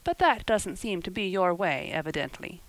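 A woman's voice: fairly low pitch, expressive, moderate pace, close to an everyday voice, poor audio. Stern older guardian, acted by a woman narrator: storybook character, blunt, sarcastic, scolding, no patience for nonsense. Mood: dry, sarcastic.

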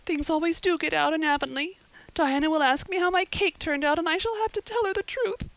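A woman's voice: fairly high pitch, expressive, fairly quick, no echo, voice pushed up. A woman voicing a dramatic, talkative young orphan girl in a storybook, pleading earnestly and breathlessly. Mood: sobbing despair.

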